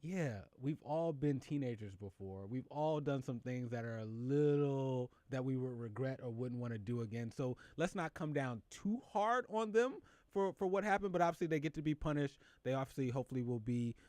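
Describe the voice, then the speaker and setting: Male co-host, middle pitch, dry close mic, casual and animated, restarts when excited. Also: normal speed, hardly any pauses, no echo. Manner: animated, laughs often, stammers when excited. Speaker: show host. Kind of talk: casual news chat.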